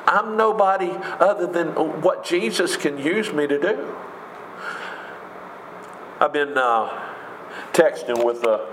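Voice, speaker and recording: Booming preacher in a roomy church. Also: male voice, a bit bright, a little hiss or hum. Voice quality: big booming voice. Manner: emphatic, conversational preaching with rhetorical questions. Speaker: pastor. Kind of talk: sermon. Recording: echoey room with faint ambience.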